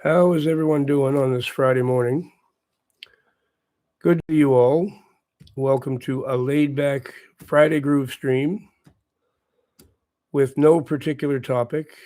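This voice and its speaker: A man with a hoarse voice from a recent bout of laryngitis, hosting a casual livestream and chatting quietly and encouragingly with his viewers. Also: medium pitch, steady tone, a little slow, long pauses, no echo. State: hoarse, recovering lost voice. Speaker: livestream host. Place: at home with morning coffee.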